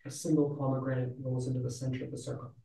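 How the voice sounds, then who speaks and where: A man's voice: medium pitch, flat delivery, racing, vocal fry, dark tone. A man reading stage directions as plain scripted narration at a play reading. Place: indoor room, live play reading. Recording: echoey room, far from mic.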